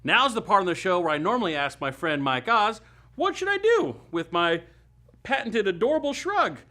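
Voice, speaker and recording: Lively, bright-voiced American man hosting comedy. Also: sits high, normal speed. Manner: wry, sarcastic, emphatic delivery. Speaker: host of a comedy web series. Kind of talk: scripted comedic monologue to viewers.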